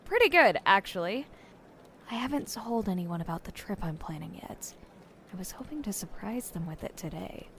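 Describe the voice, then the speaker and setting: A woman's voice: mid-range voice, lively intonation, normal speed, noisy background, natural voice. An actress playing a wry, hardworking young woman investigator in an audio drama, casual and dry. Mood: friendly.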